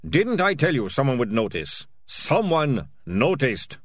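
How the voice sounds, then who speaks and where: A man reading aloud, voicing a rough, threatening male rat catcher with common speech. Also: medium pitch, very animated, unhurried, no room sound, studio quality, pitched above the performer's own voice.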